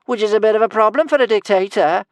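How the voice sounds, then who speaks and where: Man putting on a high, cheeky Viking girl. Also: quick pace, dry recording. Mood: mocking, teasing. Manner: teasing, sing-song mockery. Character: cheeky, taunting young Viking girl warrior.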